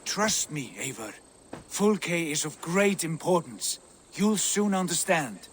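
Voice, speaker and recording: An actor playing a proud, grandiose Viking lord in a video game, speaking with lordly command. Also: male voice, a little high, big pitch swings, slow pace, slight background noise. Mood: secretive, urgent. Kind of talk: video game cutscene acting.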